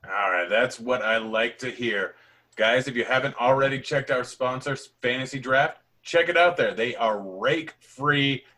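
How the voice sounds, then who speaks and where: A man hosts a fantasy basketball podcast with a bright, forceful voice. He runs through sponsor reads and matchups in an upbeat, rapid-fire way. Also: medium pitch. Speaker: podcast host.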